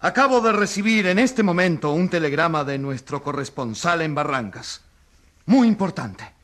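A man's voice: a little high, animated, moderate pace, a bit bright. A man playing a righteous, insistent journalist who pleads emphatically in a radio courtroom drama. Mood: triumphant. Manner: emphatic, theatrical. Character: righteous crusading male journalist, insistent and defiant.

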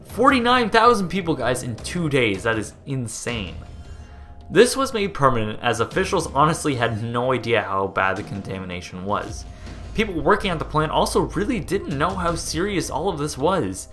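Young American guy, upbeat host, animated bright read, casual and joking. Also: medium pitch, moderate pace, soft background music. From United States. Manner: jokey, excitable, swears and trips over place names. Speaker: host narrating a documentary video. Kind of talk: casual narration over footage.

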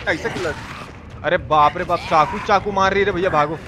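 A man giving excitable, repetitive, slangy live game commentary as a streamer. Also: high-pitched, brisk. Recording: clear background noise.

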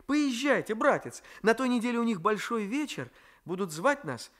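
A man's voice: extremely high pitch, very animated, normal speed, a bit bright, voice pushed up, exaggerated voice. A man reading a novel aloud as a sweet, eager young girl who pleads and coaxes. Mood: coaxing. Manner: pleading, coaxing.

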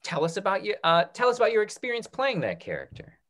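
A man in his thirties moderating a talk-back on a video call in a casual, halting way, often repeating his words. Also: high voice, huge pitch swings, a bit bright. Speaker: host of a theatre talk-back.

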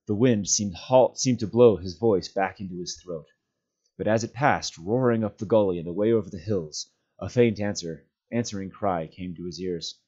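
A man's voice: deep voice, normal speed, warm tone, highs cut off. A man reading a fantasy novel aloud as a storyteller, a volunteer reader narrating.

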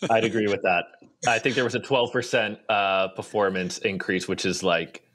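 A man's voice: on the low side, fairly even intonation, normal speed, fairly bright tone. A man chatting casually as a panelist on a podcast video call. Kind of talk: casual chat. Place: indoor room on a video call.

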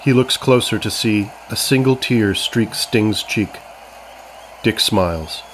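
A man's voice: fairly low pitch, slow, fairly bright tone, a little hiss or hum, low-quality recording. A man reading scripted narration for a comedy story, as its narrator.

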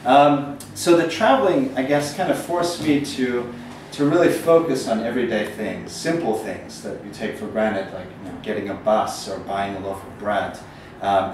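A man's voice: fairly low pitch, darkish tone. Man with an American accent in an echoey room. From Northern Ireland. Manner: rambling, frequent ums, self-deprecating humor. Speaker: poet giving a talk. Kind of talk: casual storytelling lecture. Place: small gallery room, indoors. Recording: echoey room from far off, faint noise.

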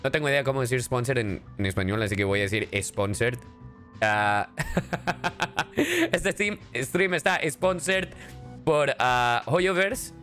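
Playful, animated male streamer. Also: mid-range voice, normal speed, pauses often, soft background music. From Ecuador. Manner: playful, animated, switching between English and Spanish. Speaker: streamer and voice actor. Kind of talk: casual live game stream.

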